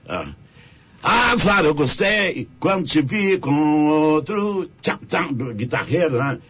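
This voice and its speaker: A man, a singer-songwriter guest on a radio show, talking in a halting, rambling way. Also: on the high side, lively intonation, fairly bright tone, lo-fi sound, a little hiss or hum. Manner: halting, rambling, stumbles over words. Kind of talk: radio interview chat. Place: radio studio.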